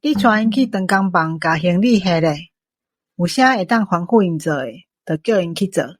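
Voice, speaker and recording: A woman's voice: lowish voice, lively intonation, moderate pace, no echo, studio quality. A woman playing a shrewd, fair-minded restaurant boss in a Taiwanese-accented radio drama, businesslike and authoritative. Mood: brisk, commanding. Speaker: voice actor in an audio drama.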